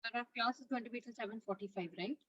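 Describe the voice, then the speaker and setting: Bright-voiced woman teacher on headset. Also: fairly high pitch, very fast, husky, dry recording. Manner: polite, checking in with students. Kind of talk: online class. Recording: lo-fi video call audio.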